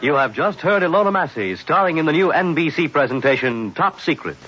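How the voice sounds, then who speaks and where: A man announcing credits in a brisk, formal 1950s radio style, heard through a dull old broadcast recording. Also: on the high side, animated, music in the background.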